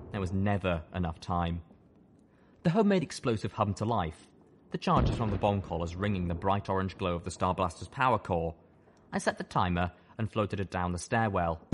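A man's voice: low-pitched, animated, normal speed, background noise. A man reading first-person fiction as a dramatic audiobook narrator.

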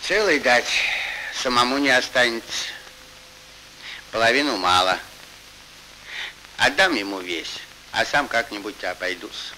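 Bright, forceful soldier. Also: male voice, medium pitch, measured pace, long pauses. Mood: pondering. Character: good-hearted, shrewd discharged soldier, moustached. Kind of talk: theatrical fairy-tale cartoon acting. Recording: hissy, noisy 1940s film soundtrack.

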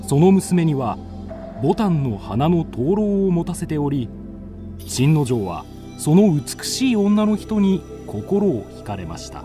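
A man's voice: on the high side, sing-song, slow pace, over music. A man narrating a scripted ghost tale as the storyteller of a radio drama.

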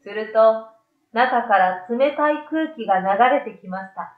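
A woman's voice: fairly high pitch, lively intonation, unhurried. A middle-aged woman reading a scripted story aloud as a storyteller. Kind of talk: scripted story narration for children and adults. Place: small plain room, indoors. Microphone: desk microphone, off to the side. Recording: roomy echo, slightly dull sound.

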